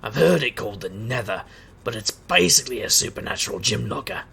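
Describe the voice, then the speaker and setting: An actor playing a wisecracking private eye and street magician, narrating an audio drama in a sardonic, gravelly way. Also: male voice, mid-range voice, sing-song, a little fast, bright, forceful tone, full character voice. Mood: wry. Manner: sardonic, wry. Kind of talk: noir-style first-person narration and dialogue in audio drama.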